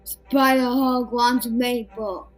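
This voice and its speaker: A woman plays a confused, childlike brute of a storybook superhero. The words come out extremely slowly, in broken, emphatic bursts. The voice is high and echoing, with music behind it. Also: steady tone. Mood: greedy. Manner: slow, emphatic broken-grammar exclamations. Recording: roomy echo with music behind.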